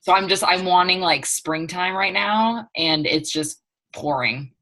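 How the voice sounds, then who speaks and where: A woman from eastern Washington State, telling rambling, animated stories in a casual group chat over a video call. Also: lowish voice, unhurried.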